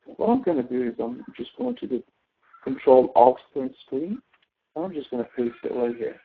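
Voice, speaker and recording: A man's voice: mid-range voice, big pitch swings, moderate pace, a little hiss or hum. A man teaches through a thin, telephone-like connection. He explains a spreadsheet procedure step by step, as a tutor would, and often checks that the learner is keeping up. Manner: explanatory, often checks understanding with tag questions. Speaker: instructor, tutor. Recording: narrow tinny phone-like line.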